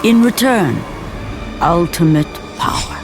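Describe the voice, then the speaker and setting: A man's voice: sits high, animated, slow pace. A man playing the grave, portentous storyteller of a mythic tragedy, narrating dramatically for a video game. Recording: loud music behind. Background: loud cinematic music.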